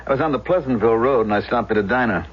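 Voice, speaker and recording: Male actor as mild evasive fellow, polite, hesitant, medium pitch, radio drama, muffled recording. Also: rapid-fire, lightly acted. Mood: casually evasive. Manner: polite, hesitant, smooth-talking. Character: outwardly mild, evasive husband and secret firebug. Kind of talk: 1970s radio drama dialogue. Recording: slightly noisy, dull vintage radio.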